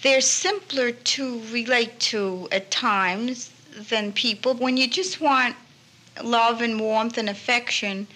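A woman gives an interview answer. She talks slowly, in a bright voice pitched a little high.

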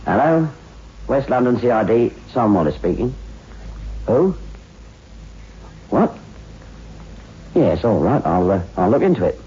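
An actor playing a brisk, wryly amused London police detective in a 1960s radio drama. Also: male voice, on the low side, sing-song, dark tone. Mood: businesslike. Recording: muffled, hissy 1960s radio recording.

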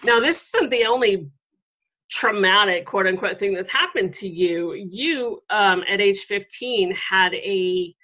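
A middle-aged woman hosting an interview, talking in a relaxed, reflective way with lots of ums and you-knows. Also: medium pitch, measured pace. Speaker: podcast host. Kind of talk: conversational interview. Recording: dull lo-fi audio.

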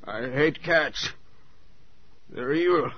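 High-pitched, troubled radio-thriller handyman. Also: slow pace, breathy edge. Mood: bitter, weary. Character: deferential, troubled handyman, desperate and superstitious. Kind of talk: 1940s radio thriller acting. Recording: muffled, noisy 1940s radio broadcast.

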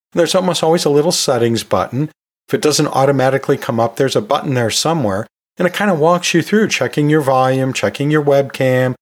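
A man hosting an instructional podcast, explaining practical tips in a conversational, informal way. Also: mid-range voice. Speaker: podcast host and college teacher.

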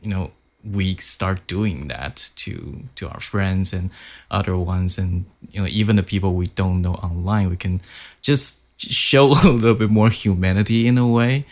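A man of forty with a Mandarin Chinese accent, hosting a casual interview and speaking slowly with many fillers. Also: deep voice. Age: 40s. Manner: slow, hesitant, many "like" fillers.

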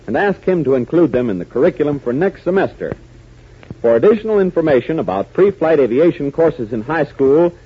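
Animated 1940s American radio announcer. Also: male voice, fairly high pitch, husky. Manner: dramatic, emphatic delivery. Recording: muffled, hissy 1940s radio recording.

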